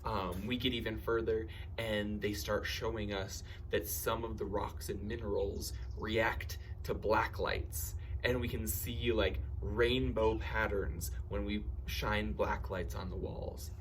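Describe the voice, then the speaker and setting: A man telling personal stories in an animated, theatrical way, acting out bits of dialogue with excitement. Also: on the low side, takes it slowly, hardly any pauses, slight background noise. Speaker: camp storyteller and science educator.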